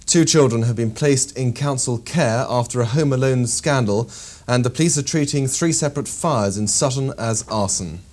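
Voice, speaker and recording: A man with a British accent reading a scripted local television news bulletin in a formal, measured manner. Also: mid-range voice, a little mellow.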